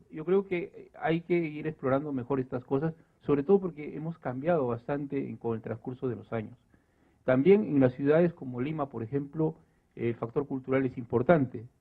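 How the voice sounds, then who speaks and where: A man with a Peruvian accent offering measured, reasoned argument at a public debate. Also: medium pitch. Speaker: debate panelist. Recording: thin phone-like sound.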